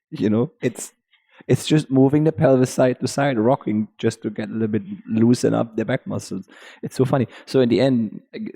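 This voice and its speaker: Deep gravelly man with a German accent. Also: warm tone. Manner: halting, restarts while searching for words. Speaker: podcast guest, Pilates teacher. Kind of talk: casual podcast conversation.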